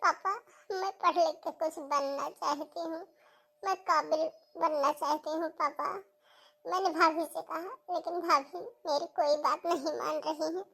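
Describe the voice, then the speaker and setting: A very high, young schoolgirl voice, acted by a woman for a cartoon melodrama. She is earnest and plaintive, sounding close to tears as she pleads. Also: fairly even intonation, unhurried, gravelly, a bit bright, heavily acted, poor audio. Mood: tearful, pleading. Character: tearful young schoolgirl pleading to keep studying. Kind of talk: Hindi cartoon family melodrama acting.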